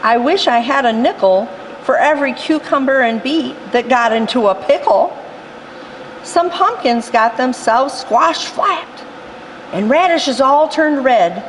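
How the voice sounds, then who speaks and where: A woman voicing a gentle old grandfather telling a folksy tale. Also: high voice, expressive, a little slow, a little hiss or hum, low-quality recording.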